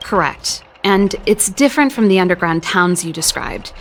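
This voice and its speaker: An actress voicing a wry, candid young exiled scientist in a video game, talking in a reflective, articulate way. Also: female voice, mid-range voice, lively intonation, moderate pace, a little hiss or hum, natural voice. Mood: wry, practical. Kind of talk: scripted dramatic game dialogue. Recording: loud background music under the voice. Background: game cutscene music.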